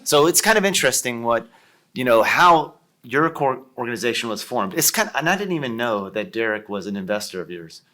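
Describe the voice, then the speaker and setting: A man's voice: medium pitch, sing-song, brisk, sharp tone. A man in his fifties with an Ohio accent, chatting as a podcast guest in a jokey, rambling way. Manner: jokey, rambling, leans on "kind of". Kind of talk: casual interview chat.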